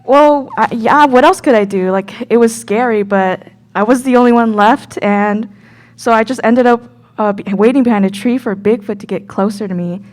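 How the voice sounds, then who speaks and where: A young woman acting as an easily scared myth geek, telling her story in a casual, halting, earnest way. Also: a little high, natural voice. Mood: earnest recounting. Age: young adult. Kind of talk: scripted radio play storytelling.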